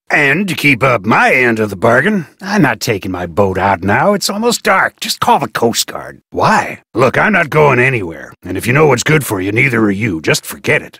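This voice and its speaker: A gruff, folksy fisherman. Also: medium pitch, sing-song, normal speed, few pauses, pushed and bright, no echo, clean studio sound, heavily acted. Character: gruff fisherman and harbor master hopeful, blunt. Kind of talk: video game voice acting.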